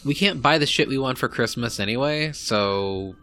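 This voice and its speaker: Bright-voiced sarcastic man chatting. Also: mid-range voice, expressive, moderate pace. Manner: sarcastic and profane, mocks others in put-on voices. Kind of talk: casual group chat.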